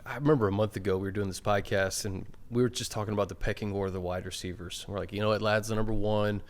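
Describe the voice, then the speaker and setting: A man's voice: lowish voice, steady tone, rapid-fire. A man hosting a casual sports podcast, conversational, recounting things in short clipped phrases. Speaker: podcast host.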